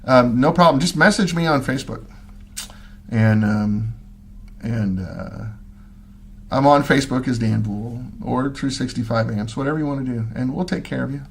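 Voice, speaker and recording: A man's voice: mid-range voice, normal speed, a little hiss or hum. A middle-aged man from Missouri, hosting a casual livestream from home and answering viewer comments in a rambling way, with lots of ums and restarts. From Missouri, USA. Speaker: livestream host.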